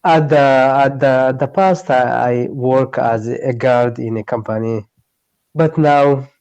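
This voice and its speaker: A man from Eritrea, a Tigray speaker learning English, speaks in halting phrases, often searching for words. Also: medium pitch, darkish tone, clean tone, dry recording, highs cut off. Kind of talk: online tutoring conversation. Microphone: video call.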